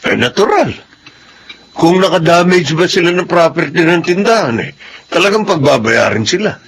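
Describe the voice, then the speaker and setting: A man's voice: on the high side, animated, moderate pace, gravelly, a bit bright, slight background noise. A man with a Filipino accent playing a sensible, disapproving neighbour in a radio drama.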